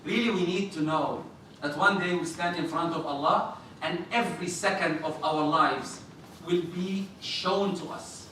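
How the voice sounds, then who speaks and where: Loud, bright-voiced imam in an echoey hall. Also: male voice, on the high side, a little slow. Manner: loud, animated, jokes with the congregation. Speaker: imam giving a sermon. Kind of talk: Friday sermon to a congregation. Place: mosque prayer hall. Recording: echoey hall, mic far off, faint noise.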